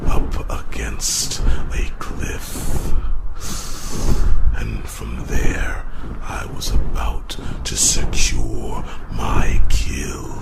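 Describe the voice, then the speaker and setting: A man voicing a huge, proud, fierce dragon in a fantasy roleplay, speaking gravely to a human in halting, growling, gravelly phrases. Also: rumbling low, in a whisper, full character voice. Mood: proud, brooding. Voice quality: gravelly, growling, breathy rasp. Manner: slow, grave, halting dramatic delivery. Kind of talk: ASMR fantasy roleplay monologue. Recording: noisy, steady rushing ambience behind voice.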